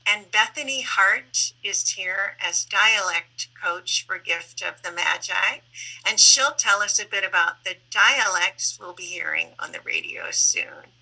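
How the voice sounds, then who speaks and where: Female moderator for an online panel, unhurried and organized, with a very bright, crisp edge. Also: mid-range voice, sing-song, rough recording. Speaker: host and moderator, library staff member. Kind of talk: introducing a panel and asking questions. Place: online video call. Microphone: video call microphone.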